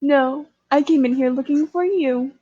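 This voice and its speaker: Woman as a high-pitched, theatrical eager young man. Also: dark tone, dry recording, highs cut off. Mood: teasing. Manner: theatrical, emphatic with pauses.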